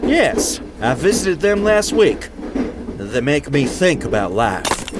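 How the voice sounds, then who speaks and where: A man's voice: big pitch swings, slow pace. A man playing a thoughtful, questioning steam train crewman in an audio drama, speaking in a curious, reflective way. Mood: calm, reflective. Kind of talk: amateur audio drama acting. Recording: noisy rumbling train background.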